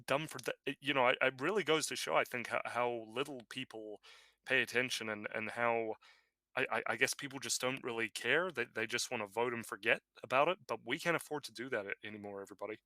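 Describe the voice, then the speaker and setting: A man co-hosting a podcast, speaking haltingly with frequent restarts. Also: medium pitch, sharp tone, no room sound. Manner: halting, many restarts and fillers. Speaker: podcast co-host. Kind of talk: casual political chat.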